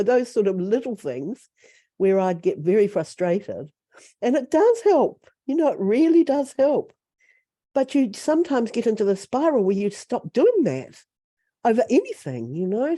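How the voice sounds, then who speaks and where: A woman's voice: on the low side, warm tone, no echo. A woman sharing chattily and self-deprecatingly in a group video call. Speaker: group participant.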